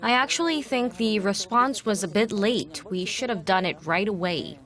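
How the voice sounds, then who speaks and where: A woman's voice: mid-range voice, expressive, moderate pace, fairly bright tone. A woman giving her opinion in an interview answer.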